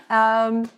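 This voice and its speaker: A woman hosting a casual comedy chat, playful and teasing, laughing often. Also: mid-range voice. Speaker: host.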